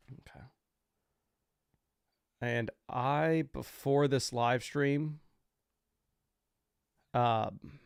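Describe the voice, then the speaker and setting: A man's voice: mid-range voice, vocal fry, dry recording, clean studio sound. A young man hosting a fantasy football livestream, talking loosely and casually with lots of fillers as he explains his picks.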